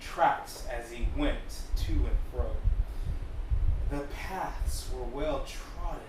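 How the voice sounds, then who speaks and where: A man's voice: medium pitch, slow, background noise. A man speaking as a podcast guest, moving between reading aloud and casual talk. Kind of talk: reading aloud from an ancient epic and casual chat. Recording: very echoey, distant mic, music behind.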